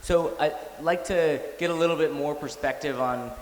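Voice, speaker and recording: A man moderating a conference panel, introducing guests in a measured, formal way with some fillers. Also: a little high. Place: conference hall. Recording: echoey hall with faint noise.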